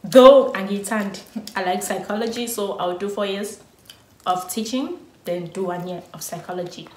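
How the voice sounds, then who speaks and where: A woman's voice: medium pitch, moderate pace, roomy sound, poor audio. A South African woman in her early twenties, talking playfully and laughing during a casual chat. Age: early 20s. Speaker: YouTube vlogger. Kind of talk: casual get-to-know-us chat. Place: small indoor room.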